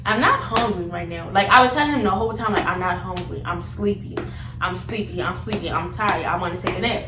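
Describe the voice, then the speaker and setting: Young woman doing casual storytime, slangy and animated, echoey and far from the mic. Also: lowish voice, fairly quick, music in the background. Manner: animated, slangy, repetitive retelling. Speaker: vlogger telling a story. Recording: roomy, distant mic, noticeable background noise.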